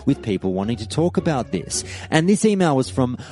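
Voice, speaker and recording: A man hosting a podcast, his voice rising and falling freely as he reads out listener mail and thanks his community. He sits at a middling pitch. Soft music plays faintly underneath. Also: very animated, fairly quick. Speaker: podcast host. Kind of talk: podcast monologue reading listener emails.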